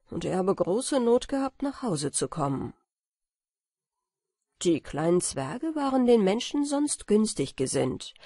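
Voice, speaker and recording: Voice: medium pitch, dark tone, breathy edge, no echo, studio quality. An audiobook narrator reading a fairy tale as a scripted bedtime story.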